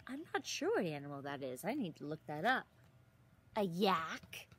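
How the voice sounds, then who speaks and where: A woman in her thirties reading a picture book aloud to young children outdoors, expressively, with exaggerated gasps and drawn-out words. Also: deep voice, sing-song, slightly rough. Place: outdoors in a leafy garden. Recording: outdoor recording with some ambient noise.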